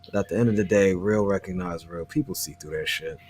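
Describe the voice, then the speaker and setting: A man's voice: deep voice, fairly quick, darkish tone, a little hiss or hum. A man about forty in casual group chat, making laid-back short remarks. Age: 40s. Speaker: participant in an online hangout.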